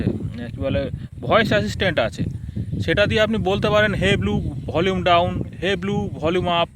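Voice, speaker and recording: High-pitched man reviewing gear. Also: fairly bright tone, slight background noise. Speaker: product reviewer, vlogger. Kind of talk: casual spoken product review, explaining to viewers.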